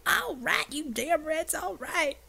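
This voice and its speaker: A woman's voice: sits high, lively intonation, slow pace, creaky, sharp tone, voice pushed up, full character voice. A woman voicing a sullen male biker rebel held prisoner, coarse and agitated in manner. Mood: panicked, breaking down.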